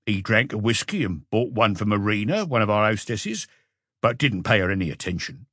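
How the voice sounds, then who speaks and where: A man's voice: fairly low pitch, animated, normal speed, fairly bright tone, no room sound, studio quality. A man voicing a casual, matter-of-fact nightclub worker giving a witness account in an audiobook. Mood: factual.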